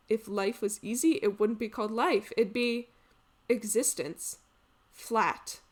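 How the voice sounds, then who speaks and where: A woman playing an earnest, reflective character in a contemporary dramatic monologue, speaking with deliberate emphasis. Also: fairly high pitch, a bit bright, close to an everyday voice. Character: earnest, reflective woman musing on life and love.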